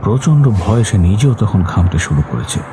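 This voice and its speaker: Deep-voiced, menacing storytelling stranger. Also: male voice, big pitch swings, moderate pace, music in the background. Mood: ominous. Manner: taunting, ominous. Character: menacing male stranger telling a grim tale on a train. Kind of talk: dramatic horror story narration.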